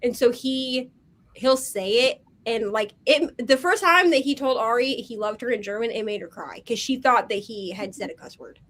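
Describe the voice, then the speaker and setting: A woman co-hosting a casual chat over a video call, an animated storyteller who fills her talk with "like.". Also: on the high side, normal speed. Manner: animated storyteller, heavy on "like" fillers. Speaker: co-host.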